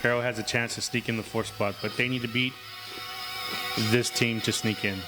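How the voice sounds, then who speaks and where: A man co-hosting a casual sports chat in an easygoing, steady way. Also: medium pitch, normal speed, music in the background, poor audio. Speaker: co-host.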